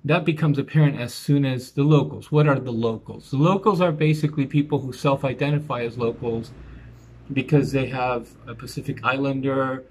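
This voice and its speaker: A man's voice: mid-range voice, fairly even intonation, moderate pace, darkish tone. An American man rambling through a personal story, reflective, with many fillers and restarts. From United States. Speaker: vlogger. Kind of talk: casual personal monologue. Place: outdoors near a bus stop in a small Italian town.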